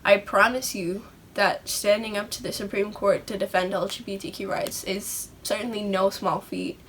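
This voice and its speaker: Woman from Utah, mid pitch, faint noise, encouraging read. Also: a bit bright. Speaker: letter writer. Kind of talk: reading a personal letter aloud.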